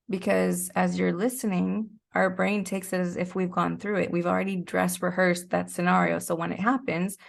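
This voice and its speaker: Female podcast guest, lowish gravelly voice with vocal fry, explanatory and conversational, a natural storyteller.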